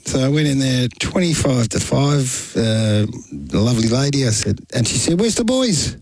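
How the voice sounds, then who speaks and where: A man's voice: medium pitch, animated, moderate pace, vocal fry, darkish tone, no room sound. An Australian man co-hosting with casual, anecdotal radio banter, repeating phrases for emphasis. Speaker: radio co-host and sports commentator.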